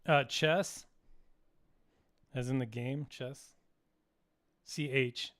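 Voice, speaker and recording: A man's voice: medium pitch, fairly even intonation, very slow, fairly bright tone. A man co-hosting a casual crypto live stream who chimes in with short, easygoing remarks. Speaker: co-host.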